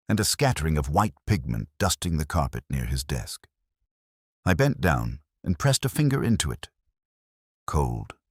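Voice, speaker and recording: Male actor as melancholy older detective narrator for radio mystery. Very low, dry close sound, deliberate, with lively melodic movement. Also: slightly rough, no echo, exaggerated voice. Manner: measured, brooding, deliberate. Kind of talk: scripted literary mystery narration, radio drama style.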